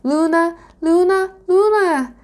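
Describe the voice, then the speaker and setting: Woman acting baby male dragon, timid, anxious, pleading, raised pitch, slow storybook delivery. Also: expressive, gravelly, darkish tone, full character voice. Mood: panicked pleading. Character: small, timid, frightened young male dragon.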